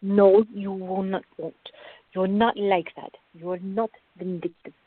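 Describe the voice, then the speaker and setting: An actress playing a calm, patient, gently firm woman who reasons in measured tones in a radio drama. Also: medium pitch. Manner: measured, placating, explanatory. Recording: tinny, band-limited, echoey room.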